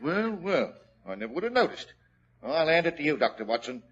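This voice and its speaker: An actor playing a folksy police constable in a 1940s radio drama. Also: male voice, a little high, sing-song, a little fast, a bit bright, husky, heavily acted. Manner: folksy, dropped letters. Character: chatty London police constable. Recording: dull old radio recording.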